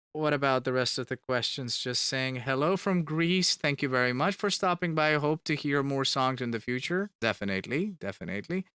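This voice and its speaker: A man's voice: mid-range voice, a bit bright, clear, resonant tone, dry recording, studio quality. A man chatting casually on a live stream, reading fan questions aloud and answering them, repeating words for emphasis. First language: Finnish. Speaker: band member streaming to fans.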